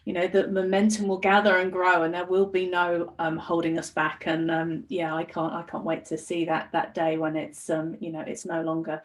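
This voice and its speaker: A woman's voice: fairly low pitch, little pitch movement, moderate pace, hardly any pauses. A woman with an English accent, talking as a life coach and guest in a casual podcast chat, earnest and enthusiastic with frequent ums and restarts. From United Kingdom.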